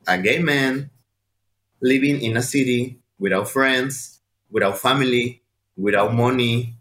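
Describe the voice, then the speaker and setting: A man's voice: medium pitch, fairly bright tone. A man with a Mexican accent, a native Spanish speaker, giving personal testimony in an earnest, direct way with emphatic repetition. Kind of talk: personal testimony and encouragement, addressed to listeners. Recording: roomy, echoing sound.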